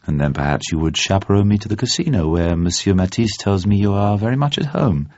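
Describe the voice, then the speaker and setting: A man's voice: sits low, expressive, fairly quick, a little mellow, no room sound, muffled recording. Man voicing a poised, composed young woman. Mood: warmly conspiratorial.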